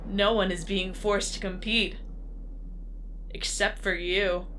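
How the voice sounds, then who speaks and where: Woman acting a bright, cool-voiced female deathmatch announcer. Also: mid-range voice, flat delivery, takes it slowly, a little hiss or hum, rough recording. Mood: condescending, detached. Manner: composed, instructive, matter-of-fact about death. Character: disembodied female announcer of a deadly arena game, coolly menacing. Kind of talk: scripted audio drama acting.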